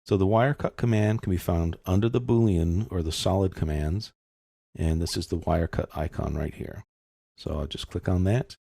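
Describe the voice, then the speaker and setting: A man giving an informal step-by-step software tutorial, explaining casually as he thinks aloud. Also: deep voice, normal speed, vocal fry, breathy edge, no room sound, studio quality.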